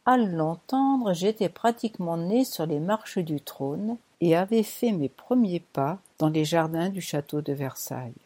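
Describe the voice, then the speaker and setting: A woman narrating a first-person novel as an audiobook, in a measured, wry storytelling manner. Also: fairly low pitch, expressive, mellow.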